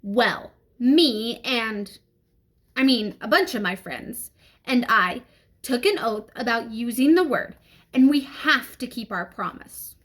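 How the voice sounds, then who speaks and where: An earnest fifth-grade boy played by a woman reading aloud stands his ground in a high, swinging voice. He is a storybook kid, informal and a little hesitant, yet stubborn. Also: animated, slow, fairly bright tone, exaggerated voice. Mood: hesitant, defiant.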